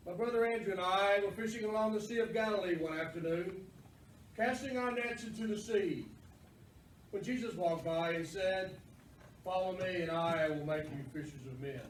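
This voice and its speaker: A man's voice: sits high, moderate pace, slight background noise, natural voice. A man playing an impassioned big fisherman apostle, humble and self-doubting, in a dramatic church stage monologue. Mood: reverent recollection. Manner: fervent, dramatic, declamatory. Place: church, live performance. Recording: very echoey church, distant mic.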